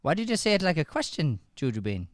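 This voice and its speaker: A man acts out a stern schoolteacher in improvised radio comedy. The voice sits a little high and very dry, nagging and repetitive, easily flustered when challenged. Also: very animated, fast talker, no echo. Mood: suspicious. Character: stern, exasperated male schoolteacher scolding a child in detention. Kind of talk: improvised old-time radio comedy acting.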